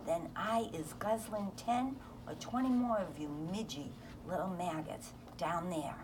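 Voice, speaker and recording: A woman's voice: lowish voice, a little slow, background noise, natural voice. A woman voicing a huge, greedy, bullying male giant in a storybook, menacing and ungrammatical. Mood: gloating menace.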